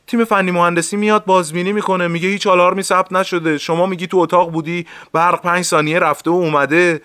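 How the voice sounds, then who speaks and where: Middle-aged male security veteran played by an actor for audio drama: blustering, colloquial, deferential underneath, higher-pitched, close dry recording. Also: fairly bright tone, no room sound. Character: veteran male security officer, hot-tempered but deferential.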